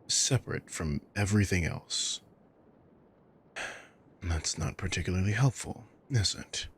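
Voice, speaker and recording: Sadistic male villain acted by a man, ASMR roleplay. Deep voice, unhurried delivery, cool menace under mock care. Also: heavily acted. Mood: irritated, musing. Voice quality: breathy, husky. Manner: slow, deliberate, taunting. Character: sadistic male villain, coolly menacing, mockingly caring captor. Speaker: audio roleplay voice actor.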